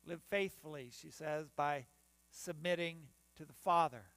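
An older man delivers a sermon from the front of a church, his voice pitched fairly high for a man. He talks on a close mic with a dry, clean sound, and he often restarts his phrases. A faint mains hum sits underneath. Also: unhurried, a bit bright, dry recording. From United States. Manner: preaching while standing and gesturing, with frequent restarts. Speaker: pastor. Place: church sanctuary in front of the altar.

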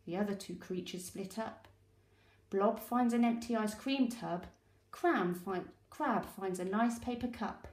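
British female storyteller for young children, slow and expressive, recorded in an echoey room. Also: mid-range voice. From United Kingdom. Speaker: early years teacher reading aloud.

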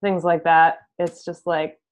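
A woman's voice: on the low side, flat delivery, unhurried. Young woman on a dull video call. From Canada. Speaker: band musician, interview guest. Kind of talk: casual chat. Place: home room, video call.

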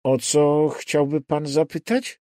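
A man's voice: on the high side, steady tone, slow pace, mellow, no echo, clean studio sound, pitched above the performer's own voice. A man voicing a nervous, testy man who is suspicious of a caller in a thriller audiobook. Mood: wary. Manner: wary, testy. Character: nervous, suspicious man guarding his door.

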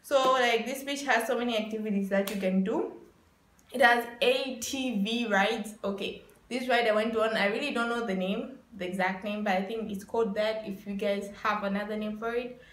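A woman's voice: medium pitch, fairly even intonation, moderate pace, fairly bright tone. A woman in her twenties narrating a casual vlog in a chatty way, leaning on repeated words for emphasis, recorded indoors in a small room. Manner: chatty, repetitive emphasis with frequent "like". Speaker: vlogger. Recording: roomy echo.

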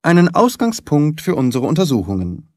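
A male reader plays a keen, confident detective from an audiobook story. He is theatrical and emphatic, pitched up and close in a dry recording. His phrasing is articulate and deliberate. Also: wildly swooping pitch, warm tone, no room sound. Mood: decisive. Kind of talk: audiobook character dialogue.

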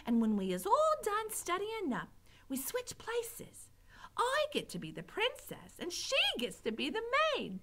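A woman's voice: very high voice, wildly swooping pitch, measured pace, background noise, pitched above the performer's own voice, full character voice. A woman voicing a dim, eager young serving girl from a storybook, blunt and uneducated in her speech. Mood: gleeful. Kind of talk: storybook character voice.

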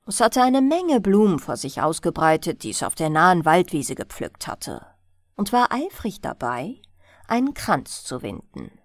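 Slow, expressive woman reading bedtime tales. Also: on the low side, no echo, studio quality. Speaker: audiobook narrator. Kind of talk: expressive bedtime fairy-tale narration.

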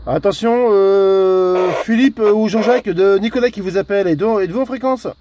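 A man making halting, formulaic calls as a radio operator, repeating himself in a procedural style. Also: sits high, noisy background. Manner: repetitive, halting, formulaic announcing. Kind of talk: CB radio calling, formal procedural hailing.

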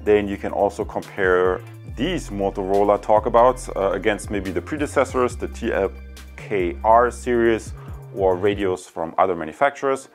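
A man's voice: fairly low pitch, moderate pace, soft background music. A man in his thirties giving a casual, unscripted product review in a chatty, rambling way, prone to digressions. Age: 30s. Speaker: product reviewer and channel host. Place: indoors in a home room.

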